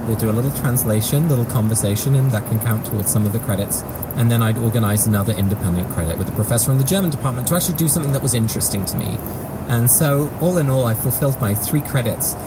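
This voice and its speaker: A man's voice: medium pitch, expressive, fast. A man from Europe co-hosting a podcast, telling a story in a wry, self-deprecating way. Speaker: podcast co-host. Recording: loud background noise.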